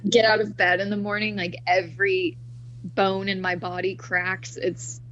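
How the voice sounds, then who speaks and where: A woman's voice: medium pitch, level tone, measured pace, fairly bright tone, dull audio. A woman around thirty, a podcast guest, chatting in an upbeat, laugh-prone way. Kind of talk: casual interview chat.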